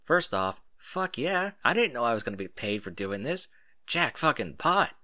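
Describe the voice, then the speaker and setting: A man's voice: medium pitch, sing-song, moderate pace, sharp tone. A man voicing a naive, curious young male newcomer who wonders aloud informally and gets easily flustered, in a story. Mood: excited.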